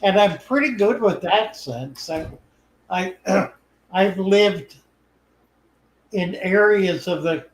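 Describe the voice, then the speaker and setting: An older man hosting a live stream, chatting in a slow, unhurried drawl. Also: sits high, a little hiss or hum. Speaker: host. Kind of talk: casual live stream chat. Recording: echoey room.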